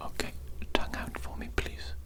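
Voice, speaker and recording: A woman whispering softly and breathily in an ASMR roleplay as a politely menacing evil doctor, slowly repeating soothing phrases. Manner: slow, repetitive, softly polite.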